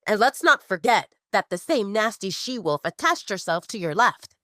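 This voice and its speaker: A woman's voice: mid-range voice, very animated, moderate pace, sharp tone, no echo, clean studio sound, pitched above the performer's own voice. A woman voicing a disapproving inner wolf spirit of a man in an audio drama, speaking reproachfully.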